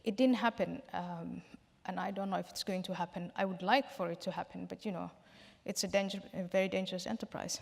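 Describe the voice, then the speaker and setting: A woman from Somalia, a guest in a public question-and-answer session, explaining her points in a measured way with some restarts. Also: on the low side, fairly even intonation, vocal fry. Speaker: panel guest. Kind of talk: public Q&A discussion. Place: large hall. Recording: reverberant hall.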